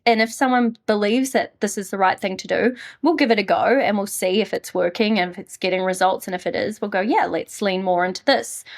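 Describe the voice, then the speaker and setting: A woman from New Zealand with a New Zealand accent, talking casually and upbeat as an interview guest about her marketing business. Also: lowish voice. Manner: chatty, upbeat, frequent fillers.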